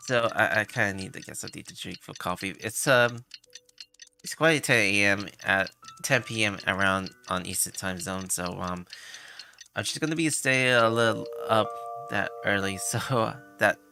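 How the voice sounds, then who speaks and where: A man chatting casually as a game livestreamer, halting and rambling with hesitant phrasing. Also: lowish voice, gravelly, sharp tone. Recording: game music behind the voice.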